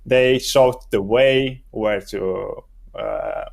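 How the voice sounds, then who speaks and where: A young adult man whose first language is Romanian, answering interview questions in a halting way while he searches for words. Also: lowish voice, creaky. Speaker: guest, SEO expert.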